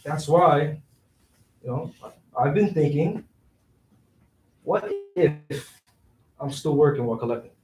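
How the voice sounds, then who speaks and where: A man's voice: a little high, slow pace, pauses often, a little mellow. An actor playing a scheming, broke young city man in a staged reading, talking in slangy, persuasive street phrasing. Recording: roomy echo, distant microphone.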